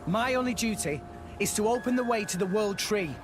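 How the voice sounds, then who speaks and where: A man's voice: high voice, a little fast, background noise, lightly acted. An actor playing an earnest, defiant young male hero in a video game, speaking plainly. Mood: defiant, determined. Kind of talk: dramatic video game voice acting. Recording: game audio with music behind.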